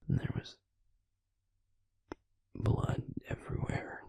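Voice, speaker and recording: A man playing a bitter, wounded vampire recalling his trauma in an intimate audio roleplay, speaking in a halting, breathy whisper. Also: fairly low pitch, dry recording, full character voice. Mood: somber, haunted. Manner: halting, hushed, with heavy pauses. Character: wounded, bitter male vampire, once a healer, recounting trauma.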